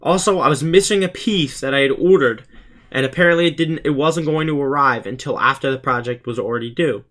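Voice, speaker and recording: A man's voice: mid-range voice, fairly quick, a bit bright. A teenage male student narrating a scripted explainer for his video channel, earnest and plainly presented. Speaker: student YouTuber presenting a school project.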